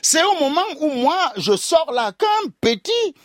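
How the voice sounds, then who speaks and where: An older man spins a comic tale for a radio host. His voice sits high and leaps all over its range with theatrical flair. The sound is close and dry. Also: measured pace, dry recording. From Cameroon. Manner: theatrical, animated, addresses the host directly. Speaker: guest storyteller. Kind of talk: comic oral storytelling.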